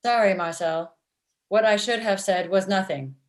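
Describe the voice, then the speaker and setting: Woman as robot matchmaker, stilted literal phrasing, blunt, secretly smitten, mid pitch, comedy table read. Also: normal speed, close to an everyday voice. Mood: deadpan, chatty. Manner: stilted, uncontracted, machine-like phrasing. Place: indoors on a video call.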